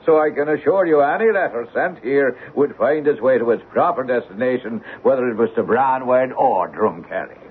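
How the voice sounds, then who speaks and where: A man's voice: mid-range voice, expressive, normal speed, hardly any pauses, lightly acted. Folksy Irish barman played by a man, tinny radio. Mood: genial, chatty. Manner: folksy, rambling storytelling, lilting. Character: talkative old Irish village barman and postmaster, superstitious, folksy. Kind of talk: old-time radio drama acting. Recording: tinny, noisy old radio broadcast.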